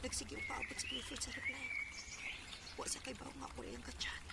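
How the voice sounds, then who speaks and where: A woman's voice: high voice, fairly even intonation, fast, vocal fry, heavy background noise. A woman acting a lovelorn young woman with a secret crush in a radio drama. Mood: wistful.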